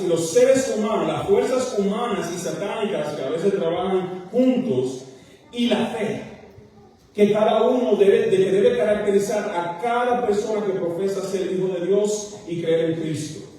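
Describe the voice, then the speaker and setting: A man with a Dominican accent preaching a church sermon as a pastor, halting and correcting himself, then pressing his points. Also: high-pitched, warm tone. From Dominican Republic, partly raised in Queens, New York. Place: church sanctuary, speaking to congregation. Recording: very echoey large hall, distant microphone.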